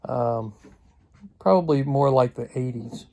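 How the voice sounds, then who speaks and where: A man talking casually and unscripted for a vlog, in a halting, hesitant way with long pauses. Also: mid-range voice, creaky, a little mellow, a little hiss or hum. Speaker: vlogger.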